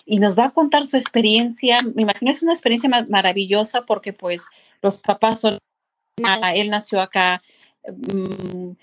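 A woman's voice: mid-range voice, normal speed. A woman hosting a podcast, asking questions in a chatty way with frequent restarts. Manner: warm-up questions with many restarts and fillers. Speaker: podcast host. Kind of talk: casual interview.

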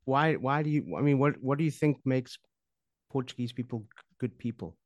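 A man's voice: medium pitch, animated, normal speed, no echo, highs cut off. Podcast host guy, mid voice, dry close sound, friendly, kinda stumbles into questions. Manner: friendly, agreeable, restarts his questions. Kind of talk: interview questions and chat.

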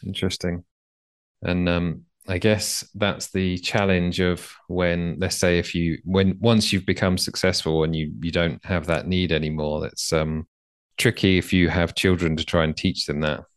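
A man hosting a podcast, asking his guest questions in a hesitant, halting way. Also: sits low, flat delivery, no echo, studio quality. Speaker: podcast host. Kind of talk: interview questions.

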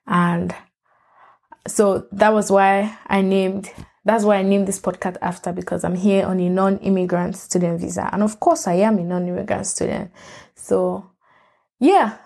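A woman's voice: medium pitch, steady tone, unhurried, gravelly, a little mellow. A woman, hosting a solo podcast in a rambling, candid way that often corrects itself. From Nigeria. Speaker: podcast host. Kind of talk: casual solo podcast monologue.

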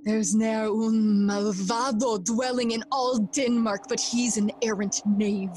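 A woman playing a grieving, feverish young prince in a Shakespearean audio drama, theatrical and deliberate. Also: on the high side, steady tone, darkish tone, slight background noise. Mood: guarded excitement.

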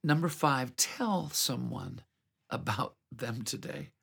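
Slow, gravelly-voiced man giving a devotional. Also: medium pitch, very animated, a bit bright. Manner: earnest, reflective, unhurried. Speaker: host of a devotional program. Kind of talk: scripted devotional message.

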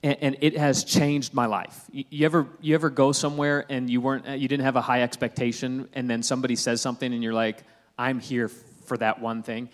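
A man in his thirties, a pastor preaching a sermon in a conversational, humorous way that climbs to loud, emphatic peaks. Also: mid-range voice, flat delivery, fairly quick.

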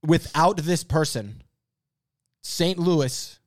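A man co-hosting a podcast in casual, joking banter, playfully opinionated and animated. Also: a little high, extremely slow, no echo, studio quality. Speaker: podcast co-host.

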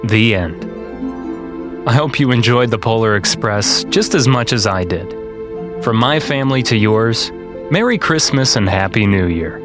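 A man reading a children's picture book aloud in a deliberate, dramatic storytelling style. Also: on the low side, lively intonation, over music. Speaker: storyteller and podcast host.

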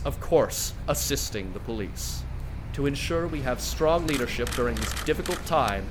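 A man's voice: medium pitch, noisy background, natural voice. A man playing a formal media figure addressing the public in a scripted audio drama. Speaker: news reporter and company spokesman. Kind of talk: scripted news report and press conference acting.

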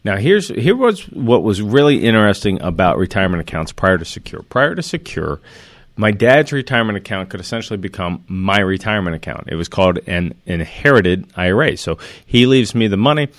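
A man with a fairly low voice talks through money matters earnestly and with emphasis. He builds his points on worked examples and often restarts mid-sentence. The sound is dry and close, with almost no room echo. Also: big pitch swings, a little fast. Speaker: financial commentator. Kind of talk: explanatory talk to camera.

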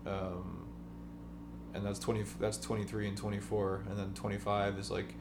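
A man in his twenties joining a home podcast as co-host, dry and deadpan in his teasing. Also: lowish voice, moderate pace, vocal fry. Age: 20s. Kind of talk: casual chat. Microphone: off to the side of the mic. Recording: roomy, noisy, with hum, off-mic.

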